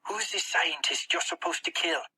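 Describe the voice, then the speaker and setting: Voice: medium pitch, normal speed, sharp tone, husky, heavily acted, low-quality recording. A narrator voicing a young boy spy in an audiobook, urgent and demanding. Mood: threatening. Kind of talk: audiobook character dialogue.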